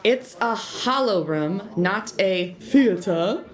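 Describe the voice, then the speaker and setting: A woman's voice: medium pitch, animated, takes it slowly. A woman playing a young circus trainee in an audio drama, with an informal, hesitant and questioning way of talking. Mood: curious, quibbling. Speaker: voice actor. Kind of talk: conversational audio drama acting.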